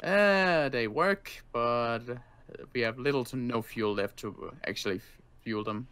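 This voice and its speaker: Worn-out, grudging male survivor for game roleplay, man acting; medium pitch, dry, halting delivery. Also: a bit bright, smooth, lightly acted. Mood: dry, explaining. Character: weary, grudging injured male survivor of a zombie apocalypse. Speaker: roleplay player.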